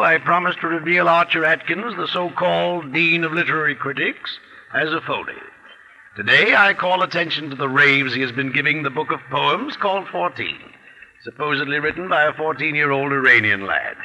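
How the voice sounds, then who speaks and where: An actor playing a haughty, easily riled literary critic in a pompous, sneering manner in a 1940s radio drama. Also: male voice, a little high, expressive, moderate pace, vocal fry, pushed and bright, heavily acted, poor audio. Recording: old 1940s radio broadcast recording.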